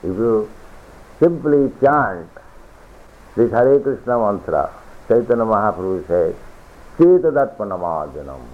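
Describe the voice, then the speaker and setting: Low-voiced man preaching on a tinny recording. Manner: deliberate, repetitive lecturing with chanted scripture quotes. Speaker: spiritual teacher. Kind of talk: philosophical sermon.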